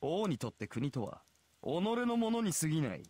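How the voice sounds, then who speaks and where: A smug imperious hero king, mocking, really animated, anime game style. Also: a little high, quick pace, dry recording. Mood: sneering. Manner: haughty, sneering, imperious. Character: arrogant golden heroic king, mocking and merciless. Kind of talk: Japanese visual novel voice acting.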